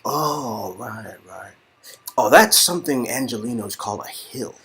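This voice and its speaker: A man reading the part of a wry, jaded but encouraging young screenwriter in game dialogue, with a husky voice. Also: lowish voice, unhurried, a bit bright. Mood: joking. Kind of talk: dramatic reading of visual novel game dialogue.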